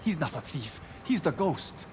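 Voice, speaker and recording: Anxious, high-pitched young blacksmith. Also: male voice, big pitch swings, measured pace, heavy background noise. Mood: loyal. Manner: worried, protesting.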